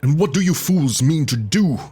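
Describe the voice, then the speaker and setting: A man's voice: medium pitch, very animated, moderate pace, breathy edge, voice pushed up. A man reading aloud and voicing a blunt, fierce barbarian warrior who roars and bellows at a crowd. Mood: roaring exasperated. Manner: roaring, bellowing commands.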